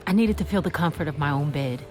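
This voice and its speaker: A woman's voice: deep voice, fast talker, darkish tone, soft background music, natural voice. An actress playing a hurt, confused woman who feels betrayed by her lover, in emotionally charged audio drama dialogue. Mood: confused, shaken.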